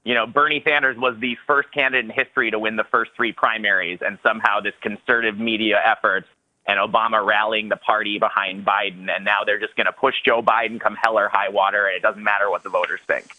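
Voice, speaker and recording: A frustrated man pours out his view on a tinny, phone-like line. His voice is low and the sound is completely dry. He talks passionately and runs his thoughts together. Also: little pitch movement, no room sound. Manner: frustrated, fast-flowing, passionate. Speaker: caller.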